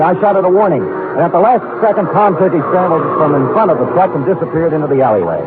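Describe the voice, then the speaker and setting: An actor playing a wry, unflappable private detective in deadpan, sardonic old-time radio drama style. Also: male voice, on the high side, lightly acted. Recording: muffled vintage radio recording with music.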